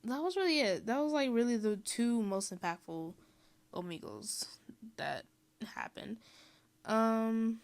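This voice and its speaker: A young woman from Mexico giving a casual, rambling voiceover, full of "um" and "like" and quick laughs. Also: fairly high pitch, expressive. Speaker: vlogger.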